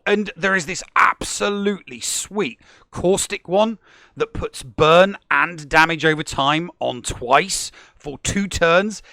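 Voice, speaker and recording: A man co-hosting a gaming podcast, chatting casually and animatedly, often stumbling and restarting when excited. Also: on the high side, gravelly, dry recording, studio quality. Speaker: podcast co-host.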